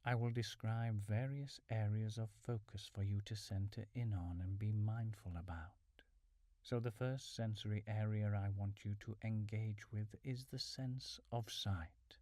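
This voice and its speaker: Slow, low-voiced man guiding meditation. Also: fairly even intonation, dark tone, dry recording, studio quality. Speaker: guided meditation narrator. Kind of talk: calm guided mindfulness meditation. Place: small furnished room at home.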